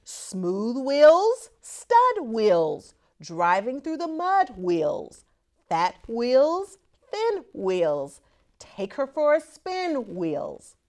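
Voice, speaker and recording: A middle-aged woman reading a children's picture book aloud in a deliberate, emphatic, theatrical way. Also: on the high side, wildly swooping pitch, darkish tone.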